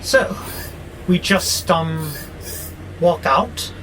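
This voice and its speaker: An actor playing a belligerent, sardonic warehouse robot who grumbles with exasperation in an audio drama. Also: male voice, a little high, lively intonation, drawn-out words, a bit bright, a little hiss or hum, heavily acted, poor audio. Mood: skeptical. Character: grumpy, belligerent male warehouse robot, war-hungry, wary.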